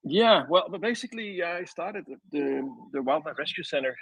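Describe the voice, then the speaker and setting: Middle-aged man, unhurried. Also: a little high, animated, gravelly, a bit bright, dry recording. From Netherlands. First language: Dutch. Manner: unhurried and loosely structured, with hesitations. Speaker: guest, wildlife rescue founder. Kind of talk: online audio chat. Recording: dull, dry remote audio.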